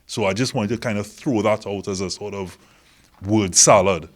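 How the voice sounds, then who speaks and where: A fairly low-voiced man hosts a talk show. He steers the discussion in a halting way, repeating words as he opens the topic and hands it over to his guests. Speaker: host.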